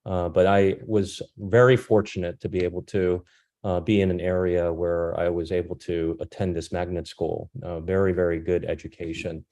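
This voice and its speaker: A man in his fifties whose first language is Korean gives an informal talk as a guest doctor. His voice sits very low and has a dark, mellow tone. He speaks in halting phrases, pausing partway through his thoughts. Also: little pitch movement. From born in South Korea, grew up in France and the United States. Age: 50s. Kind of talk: informal presentation about his career.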